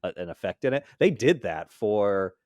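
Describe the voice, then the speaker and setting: Low-voiced man in dry podcast chat. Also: very animated, moderate pace, darkish tone, no echo. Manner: knowledgeable fan explaining details. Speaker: podcast co-host. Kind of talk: casual chat.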